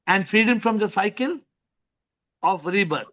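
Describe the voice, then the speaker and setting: A man's voice: high-pitched, lively intonation, unhurried, fairly bright tone, muffled recording. A man hosting an online spiritual question session, probing with repeated questions and telling anecdotes.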